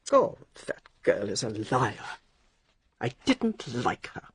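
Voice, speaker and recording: A sick older English lady speaks from her bed in a radio drama. Her voice is frail, very husky and gravelly, slow and halting, and it swings between querulous command and wandering confusion. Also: a bass voice, wildly swooping pitch, full character voice. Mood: querulous, scornful. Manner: halting, querulous, imperious upper-class speech. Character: ailing bedridden older lady, sharp-tongued and wandering.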